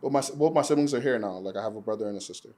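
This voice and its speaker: A young man from Nigeria, a podcast guest, talking in a relaxed, rambling way full of fillers. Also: on the low side, rapid-fire, a little hiss or hum. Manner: relaxed, rambling, many "like" and "you know" fillers. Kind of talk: casual conversation.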